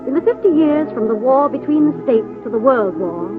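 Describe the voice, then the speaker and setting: A woman's voice: sits high, expressive, brisk. A woman narrator delivering dramatic scripted narration in 1940s radio style. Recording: tinny old radio recording, music behind.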